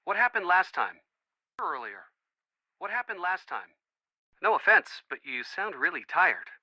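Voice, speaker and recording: A man's voice: sits high, sing-song, unhurried, pushed and bright, dry recording, full character voice. An actor playing a friendly, earnest young man in a video game, chatty and reassuring as if on a call. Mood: concerned. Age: young adult. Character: friendly young man, earnest, bookish, supportive boyfriend type. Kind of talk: video game voice acting, phone conversation.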